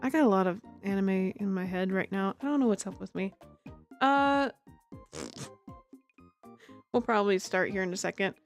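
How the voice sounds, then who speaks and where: A woman's voice: medium pitch, normal speed, pauses often. A woman chatting casually on a game livestream, giggly, rambling and self-deprecating, speaking close to the microphone. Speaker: livestreamer, amateur voice actor. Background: music.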